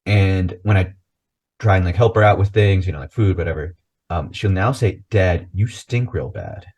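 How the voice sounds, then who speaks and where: A man with a lowish voice hosts a relaxed podcast chat in an easygoing, joking way. He laughs along with his guest and keeps the talk light. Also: normal speed, lo-fi sound. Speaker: podcast host. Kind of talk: casual chat.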